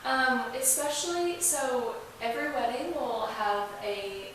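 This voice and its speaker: High-voiced woman in a very echoey room. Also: measured pace, fairly bright tone. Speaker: interview guest, museum representative. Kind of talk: casual interview talk. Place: indoors in an old museum building. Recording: very echoey room, distant mic, hiss.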